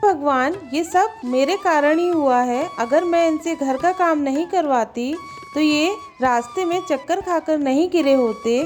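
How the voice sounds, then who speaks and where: A woman's voice: sits high, measured pace, a little mellow, faint music behind. A woman voicing a farmer's scheming young wife in a moral story cartoon, with an exclamatory, dramatic delivery. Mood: regretful.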